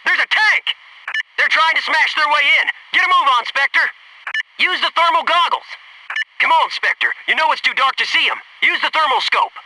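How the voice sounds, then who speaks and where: A man's voice: extremely high pitch, distant mic, background noise. An actor playing a cocky, wisecracking male Navy SEAL squadmate in a military video game, talking in brash, punchy banter. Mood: urgent. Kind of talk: scripted video game squad radio lines.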